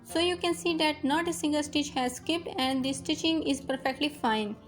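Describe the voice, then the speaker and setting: High-voiced woman explaining sewing repairs. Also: normal speed, faint music behind. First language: Urdu. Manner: patient step-by-step explaining. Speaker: sewing tutorial host. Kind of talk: instructional voice-over.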